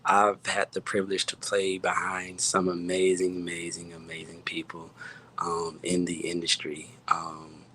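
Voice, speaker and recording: Male interview guest, low register, unhurried, modest and halting delivery. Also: monotone, pushed and bright, slight background noise, low-quality recording. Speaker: guest musician and producer. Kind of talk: casual interview chat.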